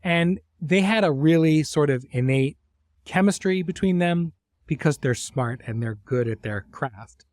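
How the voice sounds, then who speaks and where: A man hosting a conversational interview, speaking thoughtfully in phrases broken by pauses and restarts. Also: a little high, lively intonation, a little mellow, dry recording, clean studio sound. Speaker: host, series creator.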